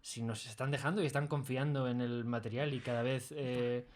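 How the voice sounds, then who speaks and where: Male guest for a studio interview, mid-pitched, Castilian Spanish, conversational delivery. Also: steady tone, moderate pace, a little mellow, a little hiss or hum. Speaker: audio drama creator being interviewed. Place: recording studio in Madrid.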